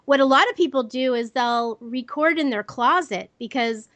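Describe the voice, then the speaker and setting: A woman's voice: high-pitched, normal speed, fairly bright tone, no echo. Youthful-sounding middle-aged woman, dry sound. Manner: youthful, kid-like natural voice. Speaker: voice actress guest. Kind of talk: conversational interview. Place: own office. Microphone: online voice call.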